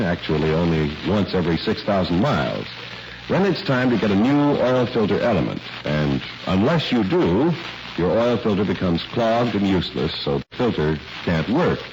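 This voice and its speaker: Male announcer, 1940s radio delivery, low and lively, polished and emphatic, on a noisy old recording. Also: lo-fi sound.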